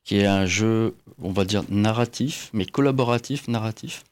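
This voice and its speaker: A man with a slightly low voice speaks as a panelist on a board game show. He explains things in a casual, conversational way, often pausing to search for the right words.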